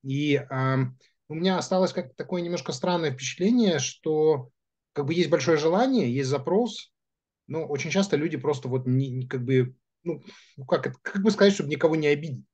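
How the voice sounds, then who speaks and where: Fast-talking husky man, careful wording. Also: on the high side, a little mellow, highs cut off. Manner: searching for words, careful not to offend. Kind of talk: casual panel talk.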